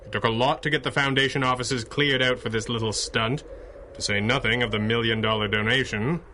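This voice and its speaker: Commanding male pulp superhero played by a male actor, dry wit, forceful bright voice, noisy background. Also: mid-range voice, steady tone, brisk, close to an everyday voice. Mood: brisk, dry. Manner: authoritative, dryly witty. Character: commanding masked 1930s male superhero with hypnotic powers. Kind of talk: radio drama acting in a pulp serial style.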